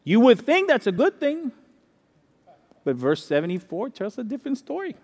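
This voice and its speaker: A man with a high voice preaches a sermon in a lively, conversational way. He grew up in the Dominican Republic and often repeats key lines for emphasis. His voice carries a long reverb, as in a large hall. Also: moderate pace. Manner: animated, conversational preaching with repetition and asides. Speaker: pastor.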